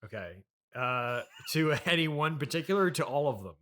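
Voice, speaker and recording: A man's voice: on the high side, lively intonation, a little fast, fairly bright tone. A man interviewing as a podcast host in an improvised comedy chat, questioning incredulously and echoing what he hears.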